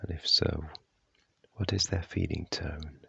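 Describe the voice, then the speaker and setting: Male meditation guide: extremely low, gravelly voice, measured delivery, long pauses between soft instructions. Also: steady tone, dry recording, lo-fi sound. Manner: gentle, unhurried, measured phrasing.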